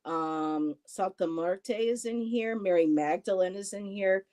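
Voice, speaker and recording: A woman's voice: mid-range voice, measured pace, darkish tone. A woman in her fifties hosting a live stream, chatting casually with a friend and reading out viewer comments, rambling and laughing often. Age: 50s. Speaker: host.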